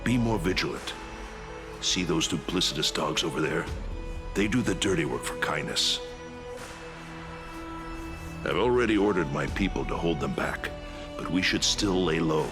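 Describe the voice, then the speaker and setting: An actor playing a gruff, wary veteran warrior lord in a video game, blunt, commanding and sardonic. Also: male voice, low-pitched, animated, moderate pace, long pauses, over music. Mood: hushed, wary.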